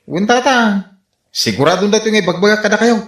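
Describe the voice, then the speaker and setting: An excitable, pleading villager in an Ilocano comedy radio drama. His fairly high voice carries an Ilocano accent and sits in a roomy, echoing sound. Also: quick pace. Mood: pleading, earnest.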